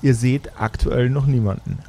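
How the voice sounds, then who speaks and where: A man narrating an improvised tabletop role-playing game as game master, descriptive and measured, pausing between phrases. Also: mid-range voice, fairly even intonation, creaky, warm tone.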